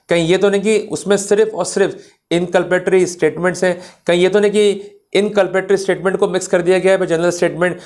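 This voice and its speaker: A man's voice: sits high, a little mellow. A man giving an explanatory law lecture, addressing listeners directly in a didactic way and mixing in English legal terms. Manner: didactic, checks understanding with questions, mixes in English legal terms.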